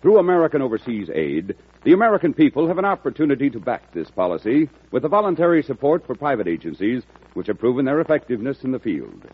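A man's voice: medium pitch, big pitch swings, fast, breathy edge. A man reading a formal, earnest charity appeal as a radio announcer. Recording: tinny old radio broadcast.

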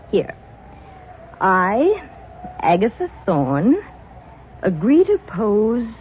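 An actress playing a cold, scornful wife weary of poverty, cutting and haughty in a 1940s radio melodrama. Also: female voice, mid-range voice. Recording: dull old radio recording, faint noise.

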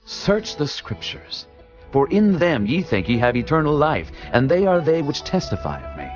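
Jesus, solemn, authoritative, expressive rise and fall, muffled audio, soft music behind. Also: male voice, fairly high pitch, normal speed. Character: Jesus, calm authoritative male teacher. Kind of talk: dramatized scripture reading.

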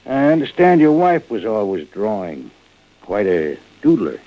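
Very deep-voiced shrewd American sheriff. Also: male voice, big pitch swings, measured pace. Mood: casual probing. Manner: casual, probing, understated. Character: shrewd, folksy sheriff slowly cornering a suspect. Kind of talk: 1940s American radio drama acting. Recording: muffled old radio recording.

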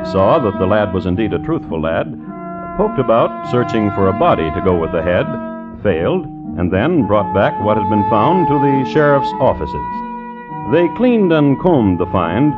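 An actor playing a wry crime storyteller, narrating in droll, deliberate vintage radio style. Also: male voice, on the low side, very animated. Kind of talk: 1950s radio scripted narration. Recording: dull old radio recording. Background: plucked guitar music.

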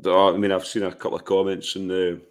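Deep-voiced, hoarse Scottish man. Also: monotone, quick pace. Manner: blunt, opinionated, rhetorical questions. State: hoarse from shouting. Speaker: football podcast co-host. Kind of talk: casual post-match football chat.

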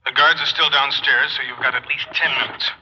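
An actor playing a cool, controlling crime mastermind giving brisk, businesslike orders in a radio drama. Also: male voice, mid-range voice, steady tone, sharp tone, slight background noise. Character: cool, controlling male heist mastermind, coldly threatening. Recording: muffled vintage radio recording.

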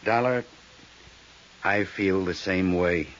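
A man's voice: deep voice, slow. An actor playing a friendly, plain-spoken businessman in a 1950s radio drama. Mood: sympathetic. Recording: hissy, muffled 1950s radio recording.

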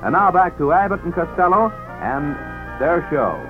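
A man's voice: fairly high pitch, animated, moderate pace. A man announcing a scripted 1940s radio promo in a formal, polished manner. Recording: tinny vintage radio with music.

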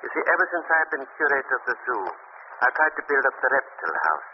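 An actor playing an earnest, slightly hesitant zoo curator in an old radio drama. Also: male voice, medium pitch, big pitch swings, fairly quick, lightly acted. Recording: muffled old radio broadcast with hiss.

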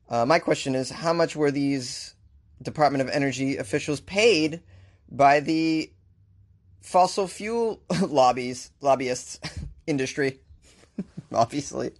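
A man with a Boston accent hosting talk radio, ranting sarcastically about the news and laughing often. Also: on the high side. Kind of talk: opinionated news commentary.

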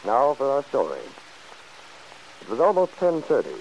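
A man's voice: mid-range voice, sing-song, moderate pace, a little mellow, lo-fi sound. A man reading scripted story narration for an old radio serial, in the style of 1940s broadcasting. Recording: hissy old radio recording.

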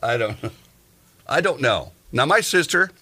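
Male podcast host, bright and animated, rambling comic chatter. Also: medium pitch, unhurried, husky. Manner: joking, rambling, tells exaggerated everyday anecdotes. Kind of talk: casual comic chat.

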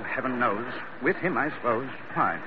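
A man's voice: mid-range voice, animated, moderate pace, lightly acted. An actor playing a fussy, anxious businessman passenger in a classic radio drama, with a fretful, formal and pompous manner. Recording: dull, noisy old radio recording.

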